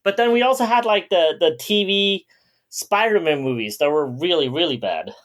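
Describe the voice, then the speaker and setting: A man's voice: a little high, expressive, moderate pace, fairly bright tone. A man co-hosting a podcast, chatting and reminiscing in a casual way. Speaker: podcast co-host.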